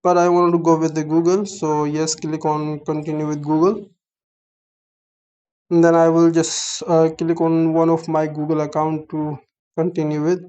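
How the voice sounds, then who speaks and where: A young man with a Pakistani accent walks through an app tutorial, his voice smooth and clear. He keeps a flat, even tone, and his explanations come in halting bits that often open with "so". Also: fairly high pitch, muffled recording. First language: Urdu. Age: young adult. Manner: halting, explanatory, starts many phrases with "so". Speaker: app reviewer. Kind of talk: screen-recorded app tutorial.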